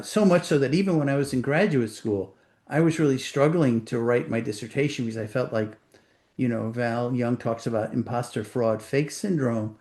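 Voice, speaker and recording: Older puerto rican guy, accent, talks slow and thoughtful about his life, mid pitch. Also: darkish tone. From Puerto Rico. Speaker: author being interviewed. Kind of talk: reflective conversation.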